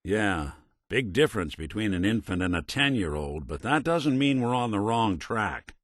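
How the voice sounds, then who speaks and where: Casting: weary American robot private eye for a game, lowish voice, lively swings in intonation, wry and principled. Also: male voice, moderate pace, no echo, clean studio sound. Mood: matter-of-fact. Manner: wry, streetwise, old-time gumshoe delivery. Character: world-weary, wisecracking robot private detective, hardboiled and principled. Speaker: video game voice actor. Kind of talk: scripted game dialogue in a hardboiled noir detective manner.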